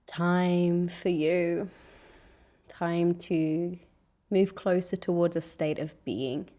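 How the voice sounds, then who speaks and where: A woman guides a meditation with a mellow, relaxed tone. Her pitch sits slightly low and stays very even. She speaks gently and soothingly, leaving long pauses between phrases.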